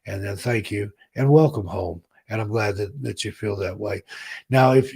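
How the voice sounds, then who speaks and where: Gravelly, hoarse man chatting with viewers. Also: lowish voice, a little mellow. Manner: rambling, unhurried, reads out viewer comments. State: hoarse, losing his voice. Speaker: livestream host. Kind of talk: casual chat with viewers.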